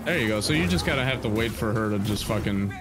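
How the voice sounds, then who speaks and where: Excitable man co-commenting, lively slow talk. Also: on the low side, over music. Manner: excitable, profane. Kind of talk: casual chat over gameplay.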